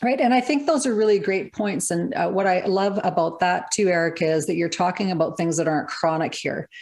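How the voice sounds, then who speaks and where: Lady podcast host, kinda low voice, lots of affirming, talks to the listeners. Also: a little fast. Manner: affirming, warm interjections, addresses listeners. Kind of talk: casual podcast interview.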